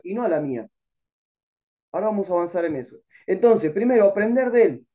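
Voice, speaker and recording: A man's voice: high-pitched, warm tone, lo-fi sound. A middle-aged man with an Argentine accent teaching an online Bible class, earnest and exhorting, calling listeners brother. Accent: Argentine Spanish.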